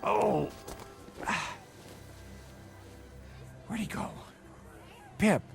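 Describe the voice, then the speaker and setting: A high-pitched, pleading, emotional father. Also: slow pace, vocal fry, darkish tone, slightly rough, faint music behind, heavily acted. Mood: anxious. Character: desperate, remorseful middle-aged father, weak-willed small-time hustler. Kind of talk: dramatic radio-play acting.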